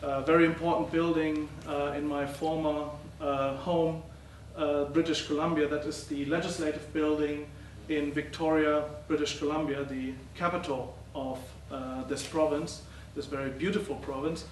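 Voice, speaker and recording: A middle-aged man giving a conference talk as a professor presenting research, speaking haltingly with many uh and um fillers. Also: a little high, level tone. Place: lecture hall. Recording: roomy, distant, faint noise.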